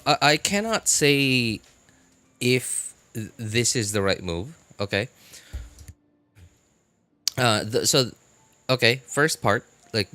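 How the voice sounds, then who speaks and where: A man casually chatting as a live-stream host, answering viewers in a halting way and repeating his phrases. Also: on the low side, clean tone, no echo. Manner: halting, repeats phrases, animated incredulous reactions.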